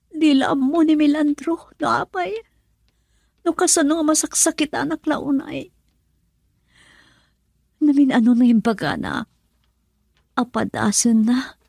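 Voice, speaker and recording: A woman's voice: high voice, measured pace, gravelly, long pauses, a little mellow, no room sound, studio quality. A woman playing a troubled, yearning young wife and nurse in an Ilocano radio drama, speaking emotionally and pleadingly with an Ilocano accent. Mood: anguished, remorseful.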